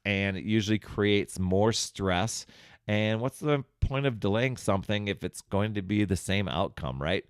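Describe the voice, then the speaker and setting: A man's voice: fairly low pitch, no room sound, studio quality. A man hosting a self-help podcast, talking conversationally and encouragingly straight to the listener. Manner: conversational, talks directly to the listener; encouraging, coaching. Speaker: podcast host.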